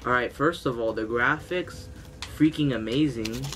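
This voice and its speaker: A man's voice: medium pitch, a little slow, slight background noise. A young man with a congested, stuffy nose, giving hyped, slangy gameplay commentary indoors. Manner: hyped, slangy, loud exclamations. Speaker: gaming youtuber. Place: indoor room, green screen. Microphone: headset, webcam framing.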